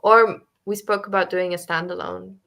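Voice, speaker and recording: Female book club co-host, casual chat, lower pitch with vocal fry, rambling thinking-aloud delivery. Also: fairly even intonation, normal speed, bright, forceful tone. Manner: chatty, thinking aloud with many fillers.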